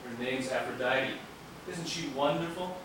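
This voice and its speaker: A man's voice: mid-range voice, measured pace, natural voice. A man playing a proud, eager scientist in a stage play, explaining things keenly. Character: proud, eager male scientist doctor showing off creations. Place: theatre stage, picked up from a distance. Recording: echoey, noisy stage videotape from far off.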